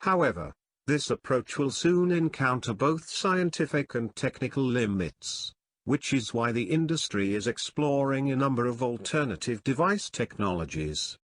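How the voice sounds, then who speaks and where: A man with a mid-pitched voice reads a prepared text aloud. The recording is dry, with no real room sound, like a model reading for test practice. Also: animated, normal speed, a bit bright, no echo. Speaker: exam practice reader. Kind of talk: read-aloud scripted passage.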